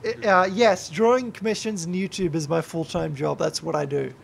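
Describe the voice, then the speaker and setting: A tipsy young man streams a game and rambles, cursing freely and breaking into yells at the screen. His voice sits high for a man and has a gravelly rasp. A faint hiss runs under it. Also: steady tone, moderate pace. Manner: rambling and heavy swearing, yelling at the game. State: drunk. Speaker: YouTuber and streamer. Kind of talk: gameplay livestream commentary.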